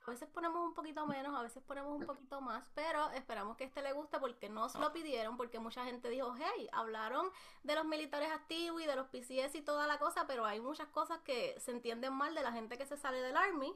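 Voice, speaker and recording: A woman's voice: high voice, fairly quick, a bit bright. A woman co-hosting a podcast, chatting and explaining things to listeners. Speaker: podcast co-host.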